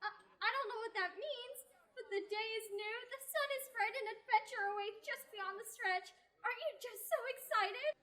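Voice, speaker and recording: Young woman playing a giddy, very high-pitched young nun. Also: moderate pace, a bit bright. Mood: giddy, eager. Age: young adult. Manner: gushing, chatty. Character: excitable, babbling, naive young nun eager for adventure. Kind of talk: amateur audio drama acting.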